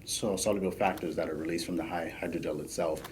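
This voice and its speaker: A man, a podcast guest talking casually with many pauses and fillers. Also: low-pitched, noisy background. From Bahamas. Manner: relaxed, many fillers while thinking. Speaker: podcast guest, PhD student. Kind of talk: casual interview chat.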